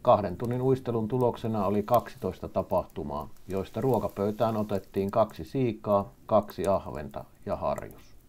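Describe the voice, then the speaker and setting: A man's voice: on the low side, lively intonation, darkish tone. A man narrating a fishing trip video in a scripted style.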